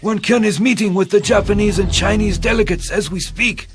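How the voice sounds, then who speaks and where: A man's voice: sits high, a little fast, natural voice. An actor playing an anxious Korean king with a formal, regal manner in a video game cutscene. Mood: alarmed. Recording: game audio with music underneath.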